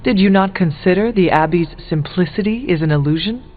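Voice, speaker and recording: A man's voice: high-pitched, a little fast, lightly acted. A man playing a solemn, stoic young demon-hunting warrior in a video game, speaking earnestly and formally. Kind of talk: scripted video game acting. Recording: thin phone-like band, music behind.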